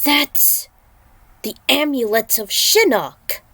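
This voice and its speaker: A woman's voice: high-pitched, very animated, takes it slowly, fairly bright tone, no echo, pitched above the performer's own voice, full character voice. A woman voicing a grave male ninja warrior in a fan fiction story, with a solemn, declarative delivery. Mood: awed, alarmed.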